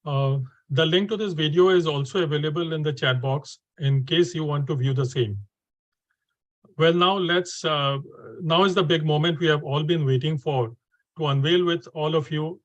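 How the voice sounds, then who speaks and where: A man's voice: a little high, no room sound, lo-fi sound. A man hosting a webinar, speaking hesitantly with many fillers and restarts. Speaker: webinar host.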